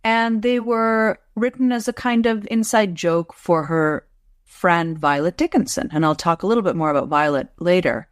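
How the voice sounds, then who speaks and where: A woman's voice: fairly low pitch, no echo, studio quality. A middle-aged woman, a literature professor, answering interview questions in a careful, explanatory way. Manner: measured, explanatory, careful restarts.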